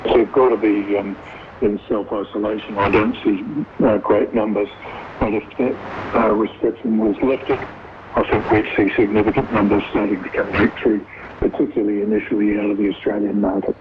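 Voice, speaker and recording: A man speaks hesitantly, pausing with ums. His voice is low and flat. It sounds phone-like under thick shortwave hiss. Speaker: town mayor interviewed. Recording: tinny phone clip over noisy shortwave.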